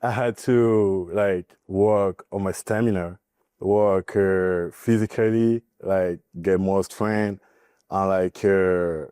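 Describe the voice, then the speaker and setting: A young man from Cameroon, a college basketball player giving a podcast interview, speaking haltingly with many fillers. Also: deep voice, steady tone, mellow, smooth, dry recording, clean studio sound. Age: young adult. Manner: halting, filler-heavy, nervous.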